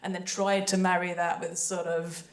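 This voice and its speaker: A woman's voice: on the low side, level tone, normal speed. A woman speaking on a panel as a composer and sound designer, chatty, hesitant and often interrupting herself. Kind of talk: panel talk about creative work.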